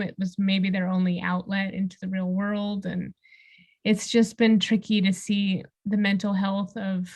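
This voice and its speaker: A woman co-hosting a podcast in a conversational style. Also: medium pitch. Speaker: podcast co-host.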